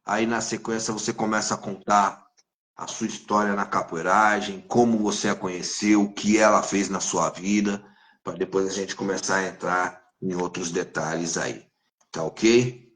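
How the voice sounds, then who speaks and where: A man from Brazil, a capoeira master hosting a live interview on a video call, speaking in a slow, deliberate, fatherly way. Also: low voice, fairly even intonation, a bit bright, reverberant.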